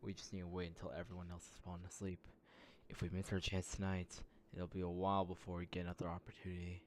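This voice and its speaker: A man's voice: sits low, completely flat delivery, quick pace, a little hiss or hum. A man doing a casual game playthrough, commenting and reading dialogue lines aloud.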